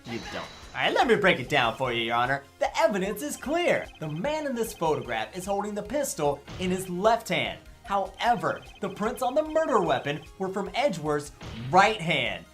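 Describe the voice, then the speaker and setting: A man's voice: high voice, wildly swooping pitch, normal speed, hardly any pauses, a bit bright, soft background music, full character voice. A man playing a flippant, goofy young defense lawyer in a comic game fandub, casual and sarcastic. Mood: cocky, triumphant.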